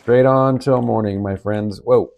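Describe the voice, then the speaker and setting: Raspy sick guy talking through his game run, mellow dark voice, faint hiss behind. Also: a little slow. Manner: relaxed, low-key play-by-play with occasional coughs. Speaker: gaming video host. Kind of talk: casual game commentary.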